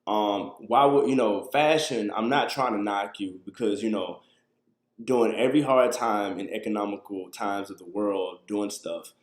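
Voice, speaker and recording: A man in his thirties with a fairly low voice talks in a loose, conversational way, answering questions from his viewers. The sound comes from a webcam in a small room, with noticeable echo off the walls. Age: 30s. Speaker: livestream host. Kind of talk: casual live talk to viewers.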